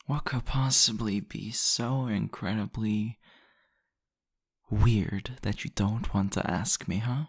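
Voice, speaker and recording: Seductive vampire host male actor, ASMR roleplay. Gravelly, husky murmur, possessive and teasing, very dry close-up sound. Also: lowish voice, steady tone, no room sound, full character voice. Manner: slow, teasing, murmuring, deliberate. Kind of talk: intimate ASMR roleplay addressed to the listener.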